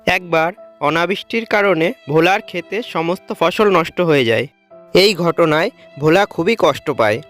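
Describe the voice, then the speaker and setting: A woman's voice: fairly low pitch, moderate pace, soft background music. A woman reading scripted narration for a cartoon story as its storyteller.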